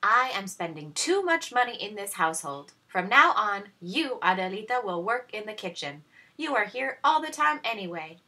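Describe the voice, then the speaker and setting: A woman's voice: mid-range voice, sing-song, normal speed, pushed and bright, pitched above the performer's own voice. A woman voicing a cold, haughty stepmother in a children's storybook, speaking in an icy, commanding manner. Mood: angry, commanding. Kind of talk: read-aloud children's storybook.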